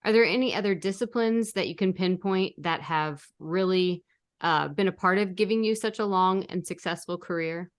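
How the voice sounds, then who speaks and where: Lower-voiced woman co-hosting an interview. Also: normal speed. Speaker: podcast co-host, interviewer. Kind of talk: casual interview chat.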